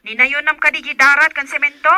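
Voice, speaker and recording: Lady playing a pushy complaining wife, radio play, high and super sharp voice. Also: fairly even intonation, a little fast, no echo, studio quality. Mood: insistent. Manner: insistent, complaining. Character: nagging, complaining wife checking on fence work. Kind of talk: Ilocano radio drama acting.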